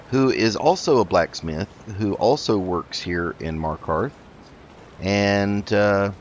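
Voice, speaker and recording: A man hosting a roleplay gaming video, talking in a measured, halting way as he plays and narrates. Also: lowish voice, highs cut off, a little hiss or hum. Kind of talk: roleplay let's play commentary.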